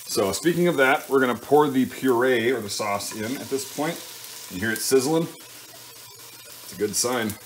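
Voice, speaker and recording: A man's voice: mid-range voice, a little slow, low-quality recording. A man giving casual, informal cooking commentary in a home kitchen, thinking aloud and correcting himself as he works. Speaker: home cook hosting a cooking show. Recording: clear frying sizzle and kitchen noise. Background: sizzling pan and stirring sounds.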